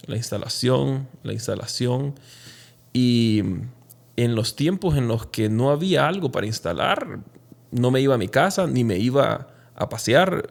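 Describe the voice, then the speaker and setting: A man from Honduras, speaking reflectively and haltingly as an interview guest telling his own story. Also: mid-range voice, creaky. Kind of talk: conversational personal storytelling in an interview.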